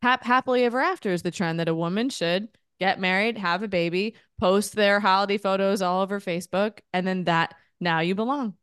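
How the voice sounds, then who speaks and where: A woman in her thirties co-hosting a podcast, earnest and animated, talking in long runs. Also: mid-range voice, brisk, hardly any pauses, smooth, dry recording, studio quality. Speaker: podcast co-host. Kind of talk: casual podcast chat.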